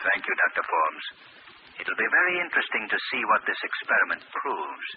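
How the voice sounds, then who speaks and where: Low-voiced, mysterious invisible avenger. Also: male voice, big pitch swings. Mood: coolly probing. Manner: formal, deliberate, sardonic. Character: mysterious invisible crime fighter, coolly commanding and probing. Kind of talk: 1940s radio acting. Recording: muffled, hissy old radio broadcast.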